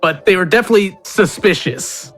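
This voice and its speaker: A man's voice: sits high, fairly quick, a bit bright, faint music behind. A man playing a tabletop role-playing game, chatting casually and joking in character.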